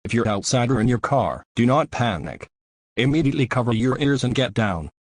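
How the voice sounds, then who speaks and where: A man's voice: mid-range voice, lively intonation, brisk, no room sound, close to an everyday voice. A man playing a stern, official announcer reading a scripted government emergency alert. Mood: stern, formal.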